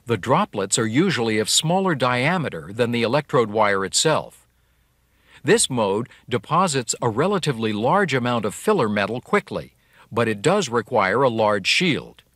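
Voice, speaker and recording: A man's voice: medium pitch, a bit bright, no echo, studio quality. Man narrating a welding training video. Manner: clear, measured explanatory delivery. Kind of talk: scripted instructional narration.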